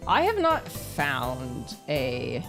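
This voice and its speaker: A woman's voice: a bass voice, wildly swooping pitch, extremely slow, creaky, over music. A woman streamer playing a visual novel live, reading the narration aloud and adding playful, casually sweary asides. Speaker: streamer, virtual YouTuber.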